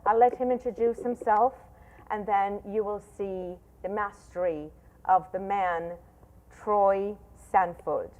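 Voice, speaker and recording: A woman's voice: mid-range voice, steady tone, slow pace, darkish tone. A woman hosting an interview show, enthusiastic and chatty, often restarting her words. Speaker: show host. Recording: tinny phone-like line.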